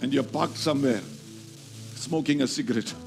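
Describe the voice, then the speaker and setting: A man's voice: mid-range voice, normal speed, music in the background. A man preaching a sermon, emphatic and repeating key phrases as he teaches from scripture. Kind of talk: sermon with scripture reading and call-and-response.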